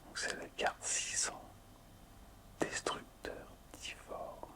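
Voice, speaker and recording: A man hosting casual ASMR in a breathy, unvoiced whisper, hushed, hesitant and appreciative. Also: extremely slow. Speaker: ASMR video host.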